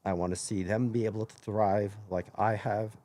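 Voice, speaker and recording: A hoarse man hosting his own live stream, talking earnestly in a rambling way and often correcting himself mid-sentence. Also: lowish voice, steady tone, moderate pace, mellow. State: hoarse after illness. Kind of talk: casual live-stream monologue.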